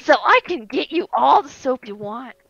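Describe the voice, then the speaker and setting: A woman playing a bossy, excitable animatronic shadow bunny in an improvised game roleplay, pushy and dramatic. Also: high-pitched, normal speed, gravelly, lo-fi sound, heavily acted. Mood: wheedling. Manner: pushy, loud, dramatic outbursts.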